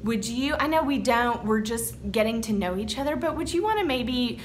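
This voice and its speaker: A woman's voice: fairly high pitch, animated, moderate pace, a little hiss or hum. A young woman with a Texan accent giving an encouraging motivational talk to her business team, often checking in with "okay?". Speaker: network marketing team leader.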